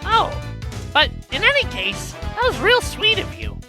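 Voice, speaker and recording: Female actor as young male cartoon monkey, squeaky-high, very expressive, cheeky, chatty, music behind. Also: measured pace, heavily acted. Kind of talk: fan audio drama cartoon acting.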